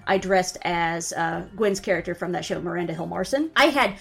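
A woman's voice: on the low side, moderate pace, gravelly. A woman co-hosting a podcast who tells stories in a big, chatty voice. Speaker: podcast co-host. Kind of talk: casual chat.

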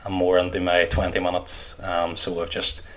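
A man's voice: deep voice, completely flat delivery, normal speed, a bit bright. A man co-hosting a podcast in casual conversation. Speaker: podcast co-host. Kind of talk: casual chat.

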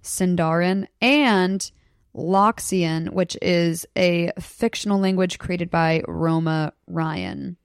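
A young woman from Southeast Alaska, a podcast guest chatting casually and excitedly, often laughing as she tells stories. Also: on the low side, slow pace, clean tone, no room sound, clean studio sound. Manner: excited, storytelling, breaks into laughter. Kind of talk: casual chat.

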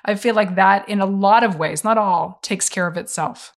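A woman's voice: mid-range voice, moderate pace. A woman interviewing a guest, supportive and affirming, with frequent murmured agreement. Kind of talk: conversational interview.

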